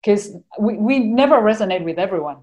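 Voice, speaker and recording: A woman's voice: mid-range voice, lively intonation, a little fast, a little mellow. A woman with an Argentinian accent, a podcast guest talking casually and earnestly about her spiritual life, rambling and dotting her talk with "you know".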